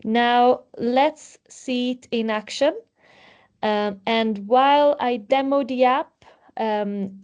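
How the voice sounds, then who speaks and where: A woman's voice: on the high side, a little mellow. Woman presenting a product demo. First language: Italian. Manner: explanatory, with frequent um fillers. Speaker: webinar presenter.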